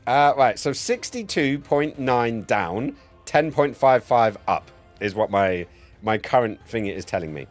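A man's voice: mid-range voice, expressive, a little slow, soft background music. A man in his forties chatting casually and jokily, with plenty of swearing, as he plays a game on a live stream at a close mic. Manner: sweary, jokey, rambling. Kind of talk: casual live-stream gameplay commentary and chat. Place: indoor home streaming setup. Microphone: close streaming mic on a boom arm.

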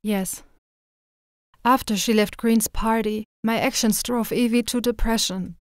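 A woman's voice: fairly high pitch, fairly even intonation, a little slow, dry recording, studio quality, natural voice. A woman voicing an earnest, caring young girl trainer with an emotional, pleading manner, in dramatic anime style. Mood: somber.

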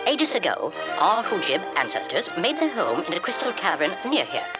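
Tiny huffy alien bunny, pompous, lively pitch, music behind. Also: female voice, normal speed, low-quality recording. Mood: dignified. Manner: pompous, formal. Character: tiny, huffy, proud rabbit-like alien creature. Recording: music under the voice.

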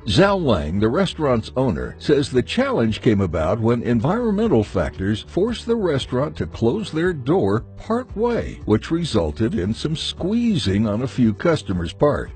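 A man narrates a short news feature in a scripted, wry tone. His pitch rises and falls widely, and a faint music bed plays under his voice. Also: huge pitch swings, measured pace, hardly any pauses, darkish tone.

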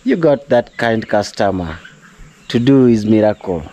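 Kenyan man vlogging outdoors, mellow voice. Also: lowish voice, expressive, measured pace. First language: not English. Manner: chatty, preachy, addresses viewers as guys. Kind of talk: casual vlog talk to camera. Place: outdoors at a rural home.

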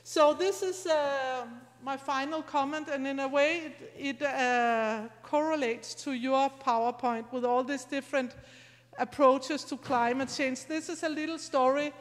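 A middle-aged woman speaks in a high-pitched voice, explaining things conversationally and somewhat haltingly. She sounds like a lecturer at an academic event, on a handheld mic in a hall with long reverb. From Scandinavia. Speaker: university lecturer. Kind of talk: informal academic conference talk. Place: large lecture room, indoors. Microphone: handheld microphone.

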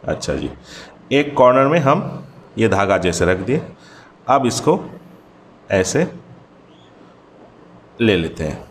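A man's voice: lowish voice, sing-song, slow, pauses often, a little hiss or hum. A man whose first language is Bengali hosts a hands-on fishing tutorial, chatting through each step and calling the viewer brother. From Bangladesh. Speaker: fishing channel host.

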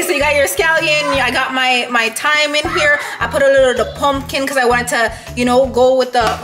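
A young woman in her home kitchen, chatting playfully and teasing while she walks through a recipe. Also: sits high. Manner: playful, teasing, quick asides. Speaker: cook showing a recipe. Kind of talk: casual cooking vlog chat. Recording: echoey kitchen with music under.

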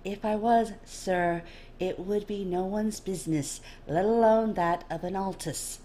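A woman's voice: mid-range voice, a little slow, mellow, a little hiss or hum, natural voice. Guarded, dryly polite female linguist read by a woman. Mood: cool, controlled. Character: guarded female linguist, feigning meekness, secretly arrogant.

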